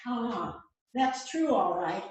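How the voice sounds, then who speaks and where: An older woman with a fairly high voice reads lines in an amateur play rehearsal. She sounds far from the mic in a very echoey room, with some faint noise. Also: expressive, slow pace, a little mellow. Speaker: amateur readers theater participant. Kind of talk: amateur script read-through.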